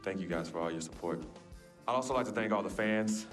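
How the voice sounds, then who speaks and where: An actor playing a young male basketball star giving a measured, earnest press conference speech in a video game. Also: low-pitched, lightly acted. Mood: earnest, grateful. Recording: clear music behind the speech.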